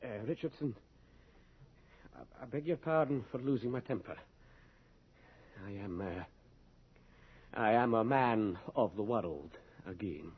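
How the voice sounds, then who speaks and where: An actor playing a conscience-stricken, hot-tempered medical assistant in a radio drama, dramatic and emphatic. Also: male voice, lowish voice, animated, unhurried, pauses often, breathy edge. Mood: apologetic. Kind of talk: radio drama acting and narration. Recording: dull radio recording with crowd noise.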